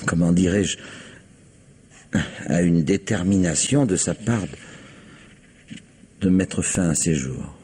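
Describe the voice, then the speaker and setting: An actor playing a cautious, formal notary who talks with measured, hesitant pauses in an old French radio drama. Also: male voice, deep voice, lively intonation, warm tone, husky, full character voice. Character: cautious, formal middle-aged male notary.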